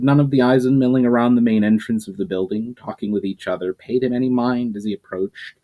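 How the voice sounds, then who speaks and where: A man's voice: a little mellow, rough recording. Man giving a dramatic fantasy reading. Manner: pitch rising and falling through each sentence, some slips and restarts. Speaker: narrator reading his own novel.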